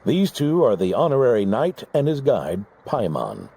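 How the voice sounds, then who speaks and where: A gravelly stern old knight. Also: male voice, mid-range voice, wildly swooping pitch, moderate pace, warm tone, slight background noise. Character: gruff older male knight, stern.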